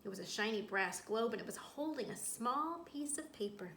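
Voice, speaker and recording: A woman's voice: fairly high pitch, lively intonation, normal speed, sharp tone. A woman, a school librarian, reading a picture book aloud to children, telling it expressively with little asides to her listeners. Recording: roomy echo, clear background noise.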